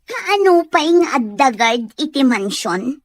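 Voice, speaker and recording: A woman's voice: high voice, very animated, measured pace, dry recording. A woman with a Filipino accent playing an indignant, haughty, demanding woman in an audio drama. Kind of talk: Ilocano audio drama acting.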